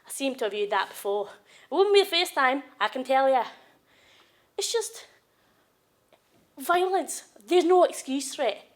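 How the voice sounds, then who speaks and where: A woman's voice: high voice, lively intonation, a little slow, long pauses. A woman acting a dramatic monologue as a wounded, angry woman raging at an abusive partner. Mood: angry, hurt. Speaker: actress performing monologues. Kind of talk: acted audition monologue.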